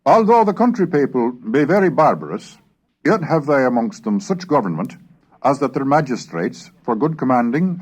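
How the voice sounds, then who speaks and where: A man giving a formal, measured reading of historical English texts in a scripted, declamatory narration style. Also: medium pitch, darkish tone, breathy edge, low-quality recording.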